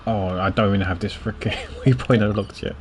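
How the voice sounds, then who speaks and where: A man with a slightly low voice streams a game. He talks informally, musing aloud in casual commentary, with faint noise in the background. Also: steady tone, fairly quick, darkish tone.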